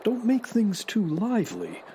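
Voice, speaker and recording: A woman's voice: a little high, animated, measured pace, background noise. A woman voicing Briscoe, a cautious and fussy sidekick who worries and stammers when flustered. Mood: fretful. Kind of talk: audio drama acting.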